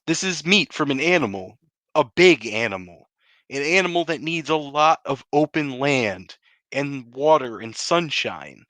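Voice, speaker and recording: Man, bright forceful voice, tabletop game player, casual in-character narration, dry close sound. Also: fairly high pitch, animated, a little slow, no echo.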